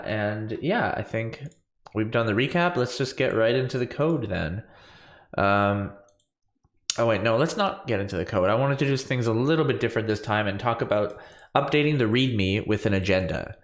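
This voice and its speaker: A man in his thirties with a lowish voice talks through his coding project, stuffy from allergies and full of ums and restarts. He speaks into a big condenser mic in a small home room with a roomy echo. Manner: rambling, filler-heavy, self-correcting. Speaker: coding livestream host. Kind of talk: casual screen-share coding walkthrough. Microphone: large studio condenser mic on boom arm.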